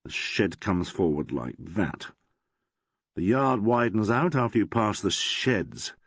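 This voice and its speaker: A man voicing a thoughtful, courteous male detective who speaks in a measured murmur. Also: on the low side, lively intonation, dry recording, exaggerated voice.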